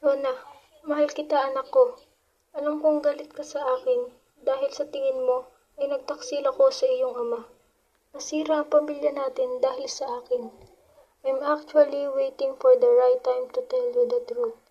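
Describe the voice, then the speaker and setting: A woman's voice: sits high, flat delivery, moderate pace, mellow, muffled recording. A woman with a Filipino accent playing a loving, remorseful mother reading out a confessional letter in a radio drama. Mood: sorrowful, tender.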